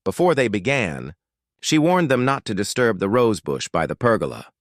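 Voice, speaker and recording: British male bedtime narrator, lowish pitch, soothing and measured but richly inflected. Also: very animated, dry recording, clean studio sound. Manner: gentle, soothing, measured storytelling. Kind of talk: scripted fairytale narration for sleep.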